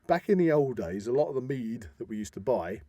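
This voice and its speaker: A middle-aged British man chats as a podcast co-host in a relaxed, husky voice. He explains things like a practised storyteller, offhand and practical. The sound is very dry, with little room around him. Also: medium pitch, brisk, no room sound. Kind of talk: casual podcast chat.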